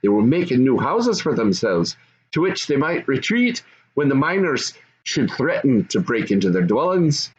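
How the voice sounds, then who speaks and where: A man's voice: medium pitch, sing-song, normal speed, lo-fi sound. An older man reading a children's storybook aloud as narrator. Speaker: storybook reader.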